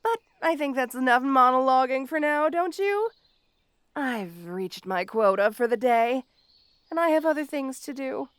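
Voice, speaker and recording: Petty supervillainess, theatrical, teasing, mock-sweet, unhurried, close dry sound, audio roleplay monologue. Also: female voice, high voice, animated, clean tone, no room sound. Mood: gleeful menace. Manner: taunting, mock-sweet, drawn-out teasing delivery. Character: gloating, petty, obsessive female supervillain with super speed.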